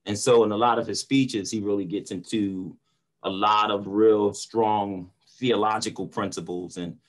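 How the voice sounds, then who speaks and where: Low-voiced earnest man. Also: steady tone, normal speed. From North Philadelphia, United States. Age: middle-aged. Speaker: preacher and motivational speaker. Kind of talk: casual discussion in an online panel. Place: small room at home or office, indoors. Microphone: video call computer mic.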